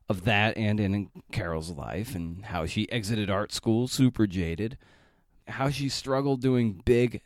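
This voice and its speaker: A man's voice: on the low side, darkish tone, no echo, studio quality. A man hosting a podcast, talking informally and chattily with playful asides. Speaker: podcast host and interviewer. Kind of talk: podcast intro and casual interview.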